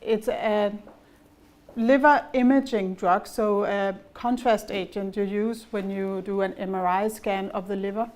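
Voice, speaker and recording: A middle-aged woman from Denmark with a Danish accent, giving a measured, explanatory company presentation at an investor conference. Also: medium pitch, fairly even intonation, darkish tone. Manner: measured and explanatory, with occasional fillers and restarts.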